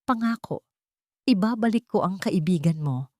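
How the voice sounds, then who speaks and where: A woman's voice: mid-range voice, animated, normal speed, a little mellow, no echo, studio quality, lightly acted. A woman with a Filipino accent voicing a determined, loyal male doctor in a dramatic story, firm and resolute.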